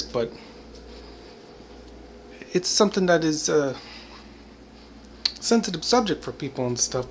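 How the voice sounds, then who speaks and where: A man's voice: medium pitch, slight background noise. A man in his forties talking to the camera in a rambling, reflective monologue, full of "you know" and "I mean" and bits of laughter. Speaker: vlogger. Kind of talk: casual spoken monologue.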